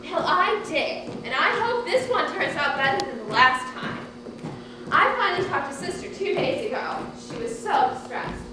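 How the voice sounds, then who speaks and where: A woman's voice: very high voice, big pitch swings, moderate pace. A woman playing a talkative, fussy, exasperated housewife in a stage comedy, with a chatty, dramatic, self-important way of talking. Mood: self-satisfied. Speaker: actor in a student one-act play. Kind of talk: live stage comedy acting. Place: theatre stage, distant microphone. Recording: distant echoey stage recording, noisy.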